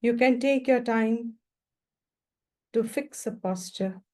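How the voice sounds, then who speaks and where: A woman guiding a meditation as a teacher, speaking gently and without hurry in short, spaced phrases. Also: a little high. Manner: gentle, unhurried, pausing between short phrases. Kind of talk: guided meditation instruction.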